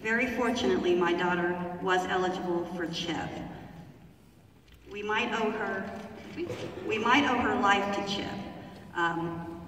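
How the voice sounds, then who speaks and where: A woman's voice: mid-range voice, unhurried, long pauses, noisy background. Woman reading aloud in a big hall. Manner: careful reading with fillers. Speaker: town hall speaker reading a testimonial. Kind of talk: reading aloud a written testimonial. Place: large theater hall. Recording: echoey hall from far off.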